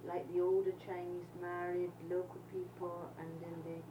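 A woman interviewing someone, asking curious questions and prompting with quick "yeah, right" replies. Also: on the low side, monotone, lo-fi sound. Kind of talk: oral history interview.

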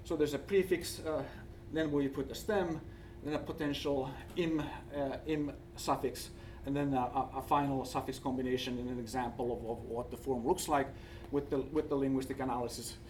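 A man with a Finnish accent presents research in a halting way, often searching for his next word. His voice sits at an average male pitch. It is picked up from some distance from the microphone, with faint noise behind it. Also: fairly even intonation, a little mellow. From Finland. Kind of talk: conference presentation with slides.

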